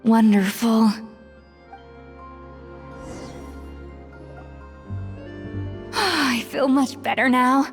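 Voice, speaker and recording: A proud young woman chef from a video game, exacting and articulate. Her voice sits a little high and she lands her words with precise emphasis. Light music plays behind her. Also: slow pace. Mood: savoring, relieved.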